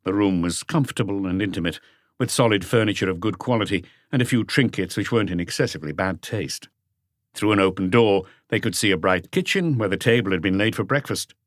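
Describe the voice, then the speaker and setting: A man reading scripted literary narration as an audiobook narrator, with a measured storytelling delivery. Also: on the low side, no room sound, studio quality.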